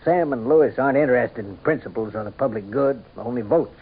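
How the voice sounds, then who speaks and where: An actor playing a weary, principled governor and husband in a radio drama, speaking earnestly and with resignation. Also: male voice, mid-range voice, fairly quick, husky, natural voice. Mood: indignant. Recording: muffled, noisy old radio broadcast.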